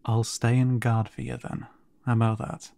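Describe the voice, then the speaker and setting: A man playing a kind, awkward siren merman in an audio roleplay, speaking to the listener in a hesitant, stammering, gently encouraging way. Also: medium pitch, monotone, normal speed, vocal fry, lightly acted. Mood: hesitant, reassuring. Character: kind, awkward male siren merfolk guiding a newcomer. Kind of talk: intimate audio roleplay drama, addressed to the listener.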